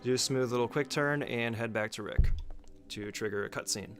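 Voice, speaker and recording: A man's voice: medium pitch, moderate pace, a bit bright. Man giving casual gameplay walkthrough over music. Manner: informal, occasionally swearing, step-by-step instructions. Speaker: speedrunner giving a tutorial. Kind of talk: casual instructional gameplay commentary.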